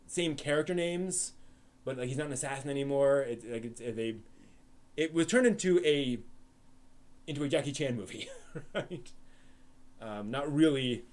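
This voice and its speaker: A man hosting a casual anime live stream, telling stories in a rambling way with many fillers and restarts. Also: mid-range voice. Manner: animated storyteller. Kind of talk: casual chat with chat readers.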